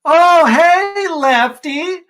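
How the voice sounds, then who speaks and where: Cheerful naive sock puppet, drawling slowly, voiced by a performer. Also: very high-pitched, animated, fairly bright tone, exaggerated voice. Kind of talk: puppet show dialogue.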